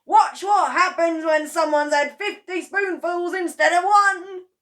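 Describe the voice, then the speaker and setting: A woman's voice: high-pitched, very animated, slow, a bit bright, voice pushed up, full character voice. Gloating gleeful husband, as a woman puts him on. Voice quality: gloating, crowing. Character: gleeful spiteful middle-aged husband.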